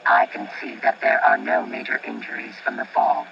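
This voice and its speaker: A man's voice: very animated, moderate pace, creaky, full character voice. A man playing a formal, unemotional robotic flight engineer and bodyguard in a radio play, with a robot filter on the voice. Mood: calm, reassuring. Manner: formal, precise, unemotional machine-like phrasing. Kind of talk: audio drama acting. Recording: distant, muffled, very noisy with vehicle rumble.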